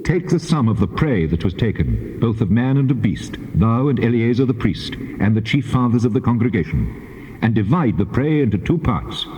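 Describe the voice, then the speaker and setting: An actor playing God as a solemn, commanding divine voice in dramatized scripture, speaking in measured phrases. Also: male voice, mid-range voice, big pitch swings, warm tone, natural voice. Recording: muffled, long cavernous reverb.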